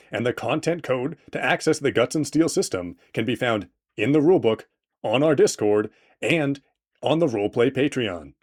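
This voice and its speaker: Man, mid-pitched voice, scripted promo narration, game creator presenter, playful tongue-in-cheek delivery.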